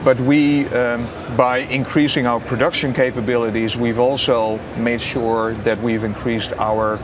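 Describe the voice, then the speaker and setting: A man in his forties giving measured interview answers as a company representative, often pausing and repeating words. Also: mid-range voice, little pitch movement. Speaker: company business strategist being interviewed. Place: open booth in a large busy exhibition hall. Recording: noisy trade show hall ambience.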